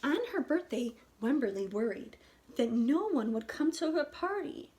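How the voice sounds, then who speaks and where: High, animated woman reading a storybook. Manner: pauses to ask listeners questions. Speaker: kindergarten teacher. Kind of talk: expressive children's storybook read-aloud.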